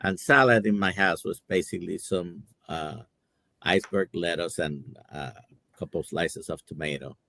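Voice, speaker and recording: A man from Puerto Rico, a doctor co-hosting a live stream, talking in a relaxed, conversational way. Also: sits low, moderate pace, vocal fry, no echo. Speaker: co-host, doctor. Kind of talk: casual chat on a live stream.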